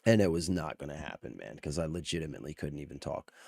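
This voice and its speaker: A man's voice: a bass voice, animated, fast, vocal fry, a little mellow, breathy edge, no room sound. A man hosting a casual livestream, talking informally to viewers while sick, his voice strained. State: sick, voice strained from near loss. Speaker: live streamer host. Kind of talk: casual livestream commentary on gaming news.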